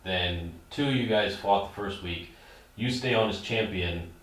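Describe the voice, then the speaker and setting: A man's voice: deep voice, measured pace, a bit bright. A man interviewing someone in a casual, conversational style. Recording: very echoey room, distant mic.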